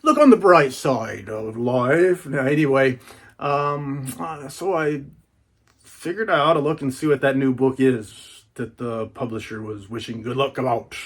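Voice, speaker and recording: A man's voice: medium pitch, a little slow, husky. A man giving a casual, chatty unboxing monologue in a folksy, colloquial way, repeating phrases for emphasis. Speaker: book reviewer and YouTube host.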